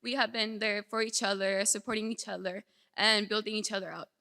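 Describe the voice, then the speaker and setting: A woman's voice: mid-range voice, fairly even intonation, fairly quick, creaky, sharp tone. A young woman from El Salvador who grew up in Houston, giving a conversational, informal personal speech as a student. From born in El Salvador, raised in Houston from age seven. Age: young adult. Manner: conversational, frequent "like". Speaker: nursing student speaker. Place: large indoor hall, speaking to an audience. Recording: echoey hall with long reverb.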